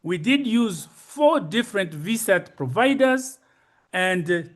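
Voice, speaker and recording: Slow, high-pitched older Congolese man. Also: expressive. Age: 60s or older. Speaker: conference presenter. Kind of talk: slide presentation.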